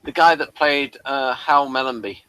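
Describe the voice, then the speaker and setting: A man with a sharp, bright voice at a middling pitch. He chats informally as a co-host, hesitating and circling back as he searches for the right words. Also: moderate pace, smooth, no echo, low-quality recording. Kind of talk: casual chat about old television.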